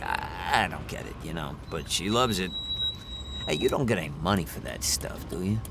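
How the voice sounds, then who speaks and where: An actor playing a folksy, rambling cab driver and dad, chatting casually in a radio drama. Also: male voice, sits low, lively intonation, normal speed, creaky, music in the background. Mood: rueful, folksy. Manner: rambling, hesitant, colloquial. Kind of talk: naturalistic, casual dramatic chat. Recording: street and car noise behind.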